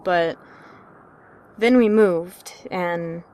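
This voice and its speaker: A woman's voice: lowish voice, drawn-out words, darkish tone. A woman giving a dramatic reading of a personal scary story as a storyteller.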